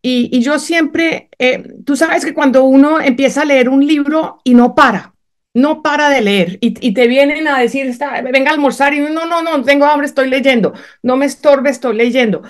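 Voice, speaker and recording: A woman's voice: high voice, moderate pace. A woman whose first language is German, speaking hesitantly with many fillers and restarts as an author being interviewed. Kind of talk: conversational interview.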